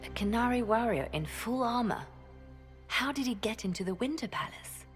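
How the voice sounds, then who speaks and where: An actress playing a composed, devoted female spymaster and adviser in a fantasy game, calm, deliberate and formal. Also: fairly high pitch, faint music behind, natural voice. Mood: grave, analytical. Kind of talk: dramatic fantasy game acting.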